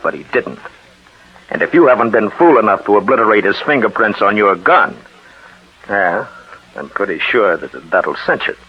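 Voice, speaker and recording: An actor playing a shrewd, steady detective in a 1940s radio drama, assured and insistent as he explains. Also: fairly low pitch, moderate pace. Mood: assured, explanatory. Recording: muffled, husky-sounding 1940s radio recording.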